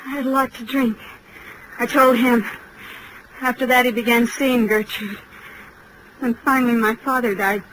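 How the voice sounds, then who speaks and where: Actress as a high-voiced, haunted young woman, noisy old radio. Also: fairly even intonation, normal speed, pauses often, a bit bright, lightly acted. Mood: dazed, confessing. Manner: overwrought, melodramatic. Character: troubled, haunted young woman who believes herself evil. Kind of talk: 1940s radio detective drama acting.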